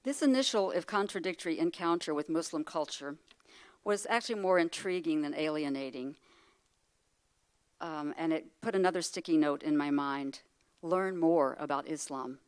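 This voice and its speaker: An older woman, a retired professor, reads a prepared speech at a luncheon. Her voice sits a little low, and she tells her life story thoughtfully. She adds wry asides and rhetorical questions along the way. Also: moderate pace, a little mellow, clear, resonant tone. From United States. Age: 60s. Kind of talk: prepared speech read to an audience.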